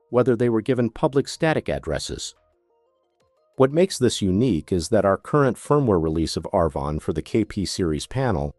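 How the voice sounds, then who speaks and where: Male tutorial narrator, methodical product demonstrator with animated intonation, recorded close and dry. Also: lowish voice, no echo. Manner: methodical, step-by-step instruction.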